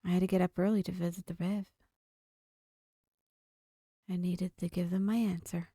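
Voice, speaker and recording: A woman's voice: lowish voice, little pitch movement, normal speed, dark tone, no echo, studio quality. A woman hosting a gaming video, reading a visual novel aloud and now and then stumbling over a word.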